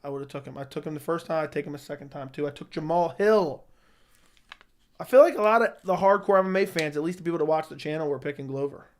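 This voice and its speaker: A slightly hoarse young man hosting a casual live chat, answering viewers with blunt opinions. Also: a little high, quick pace. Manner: opinionated, talks straight to chat. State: slightly hoarse, losing his voice. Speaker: livestream host answering chat.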